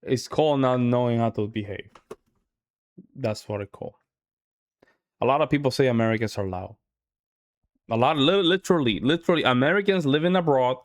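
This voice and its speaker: A man hosting a reaction video, frank and opinionated. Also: on the high side. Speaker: reaction channel host. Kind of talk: reaction commentary.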